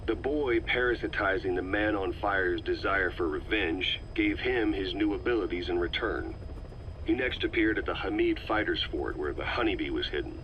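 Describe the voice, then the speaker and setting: An actor playing a calm, middle-aged male agent who methodically explains a conspiracy to the listener on a cassette tape. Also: low-pitched, normal speed, a little hiss or hum, natural voice. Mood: measured. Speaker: intelligence briefer on a cassette tape. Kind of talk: scripted game voice acting. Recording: slightly muffled cassette tape recording.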